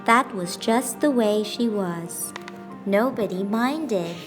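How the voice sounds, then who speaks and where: Slow-paced woman reading a storybook. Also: mid-range voice. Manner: expressive, deliberate phrasing with pauses for emphasis. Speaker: teacher reading a picture book aloud. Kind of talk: scripted storybook narration. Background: background music.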